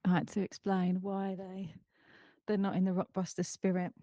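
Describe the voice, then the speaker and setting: A woman's voice: medium pitch, monotone, normal speed, dark tone, dry recording, clean studio sound. A woman co-hosting a casual live stream, teasing her partner and laughing often. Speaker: co-host.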